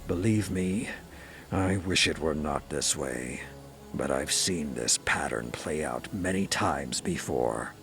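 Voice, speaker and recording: An actor playing a stern, hawkish veteran warlord in a sci-fi game, with a grave, forceful manner. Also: male voice, a bass voice, animated, takes it slowly, creaky, soft background music, heavily acted. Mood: grim contempt. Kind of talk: dramatic sci-fi game voice acting.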